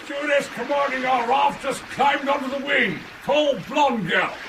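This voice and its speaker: A man's voice: extremely high pitch, moderate pace, gravelly, noisy background. An actor playing an alarmed man calling for help from a life raft in a radio drama.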